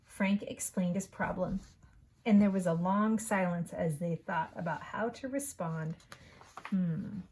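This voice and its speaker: A woman, a school principal, reading a children's story aloud in an expressive, unhurried way. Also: lowish voice, poor audio.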